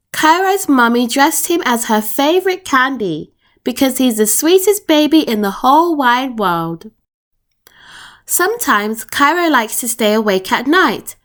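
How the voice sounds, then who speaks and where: A woman reading a children's picture book aloud in an expressive storytelling manner. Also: high voice. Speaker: storytime reader.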